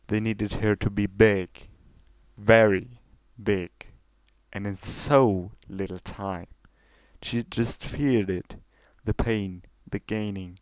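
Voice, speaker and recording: A man narrates a scripted story in a fairly low voice. The tone is very smooth and clear, and the sound is close and dry, suited to dramatic fiction. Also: dry recording, rough recording. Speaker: story reader.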